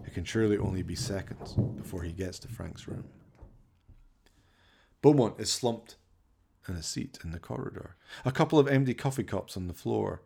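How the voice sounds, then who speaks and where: A man with a gravelly voice narrating a dramatic scripted radio play. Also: on the low side, a little mellow.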